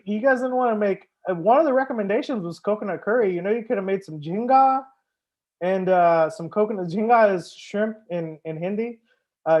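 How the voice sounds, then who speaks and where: A man's voice: sits high, muffled recording. A man hosting a live wine tasting as a sommelier, chatting casually with viewers, joking and swearing now and then. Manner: chats with viewers, answers comments. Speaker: sommelier host.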